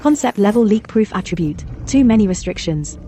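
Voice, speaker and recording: Motion comic machine announcer, voiced by a woman: formal, impersonal, mid-pitched, over noise. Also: animated, a little fast, natural voice. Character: impersonal female vending machine system announcer.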